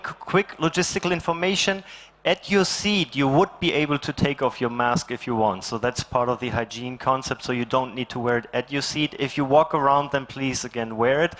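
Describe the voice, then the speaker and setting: A man hosting a festival stage, giving brisk announcements and introducing the next speaker. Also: medium pitch, fairly bright tone. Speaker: festival host. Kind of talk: event moderation. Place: large conference stage.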